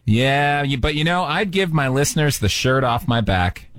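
A man's voice: medium pitch, animated, moderate pace, fairly bright tone. A man hosting a call-in radio show, trading dry, self-mocking jokes with a caller. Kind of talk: joking call-in radio banter.

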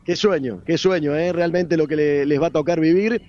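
Argentine reporter, mellow and dull. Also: male voice, fairly high pitch, moderate pace, dry recording. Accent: Argentine Spanish. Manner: many fillers, hesitant and drawn out. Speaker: field reporter. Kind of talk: live field report.

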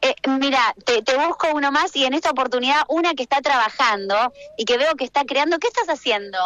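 A woman's voice: high-pitched, fast, fairly bright tone. An Argentine woman roving radio reporter, chatting effusively and informally as she interviews people live at a craft fair.

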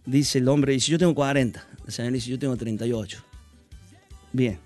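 A man's voice: mid-range voice, steady tone, brisk, a little mellow, soft background music. A man telling a long joke, acting out the lines of the characters he describes. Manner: chatty storyteller, acts out the characters' lines. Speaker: joke teller.

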